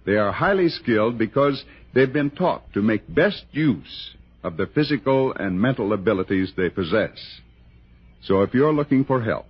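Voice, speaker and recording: 1960s American radio announcer, male, lively scripted delivery with a slightly rough edge, dull old broadcast sound. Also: fairly low pitch, moderate pace.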